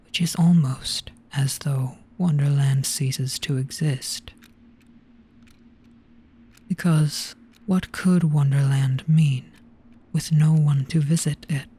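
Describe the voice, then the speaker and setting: A performer voicing an ancient, gentle, weary monster in a hypnotic roleplay, speaking very slowly in a grave, breathy hush. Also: mid-range voice. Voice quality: breathy, whispery. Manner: very slow, hushed, grave.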